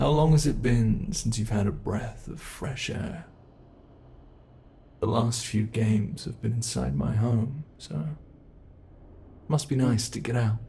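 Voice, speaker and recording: A British man voicing a teasing, predatory male monster who holds the listener captive, speaking slowly and mockingly in an ASMR horror roleplay. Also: medium pitch, gravelly, darkish tone, a little hiss or hum. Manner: slow, taunting, toying with the listener.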